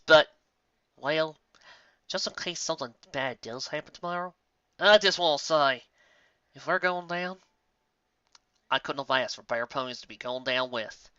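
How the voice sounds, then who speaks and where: A woman's voice: fairly low pitch, pushed and bright, no echo, lo-fi sound, pitched above the performer's own voice. A woman voicing a folksy, loyal farm mare in a storybook reading, with a plain-spoken drawl.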